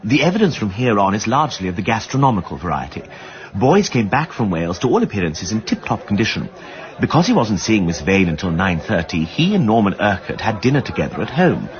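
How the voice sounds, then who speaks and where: An actor playing a well-bred, insistent amateur sleuth in a radio drama, with an upper-class English accent and a plummy, drily witty delivery. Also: male voice, lowish voice, sing-song, fast talker, muffled recording. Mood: measured recounting. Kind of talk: British radio drama acting.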